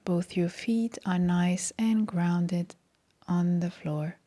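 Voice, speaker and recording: A woman guides a meditation at an extremely unhurried pace. Her voice is soft and breathy, with a mellow, relaxed tone and a slightly low pitch. She gives each instruction calmly and gently. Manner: calm, gentle, soothing. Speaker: meditation guide, yoga teacher.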